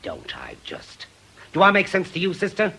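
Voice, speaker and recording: A cantankerous British ex-copper in a radio play. His voice is husky and fairly high for a man, and it swings widely as he presses his point with brusque scorn. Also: wildly swooping pitch, normal speed, bright, forceful tone, exaggerated voice. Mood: combative, scornful. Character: obsessive, cantankerous veteran ex-policeman and bereaved husband. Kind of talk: radio drama acting.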